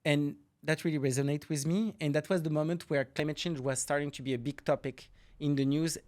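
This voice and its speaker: A man's voice: on the high side, steady tone, a little fast, a little mellow. Middle-aged man with a French accent. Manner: explanatory, loose non-native phrasing with restarts. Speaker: marine ecology professor, podcast guest. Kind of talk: podcast interview.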